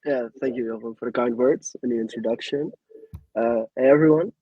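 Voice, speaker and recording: Husky mellow-voiced Dutch man presenting. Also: mid-range voice, brisk, no echo, highs cut off. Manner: casual, hesitant with frequent fillers. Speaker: guest presenter. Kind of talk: webinar presentation.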